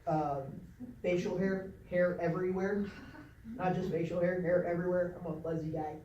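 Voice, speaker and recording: A man from Nebraska telling his story to a group of young people in an informal talk, pausing often with lots of ums and restarts. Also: sits high, steady tone, mellow, dull audio, slight background noise. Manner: halting, many ums and restarts. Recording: echoey room from a distance.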